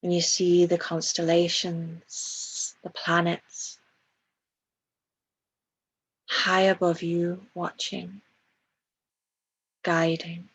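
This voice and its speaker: A woman leading a guided meditation in a soft, breathy voice, soothing and hushed, with long pauses between phrases. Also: lowish voice, monotone, poor audio.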